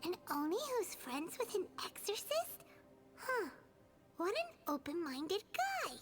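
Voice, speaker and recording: A tiny video game fairy with a very high, squeaky child's voice. She exclaims in big swoops at an unhurried pace, easily startled and chirpy, over prominent music. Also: wildly swooping pitch, heavily acted. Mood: wry. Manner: exclamatory, refers to herself in third person.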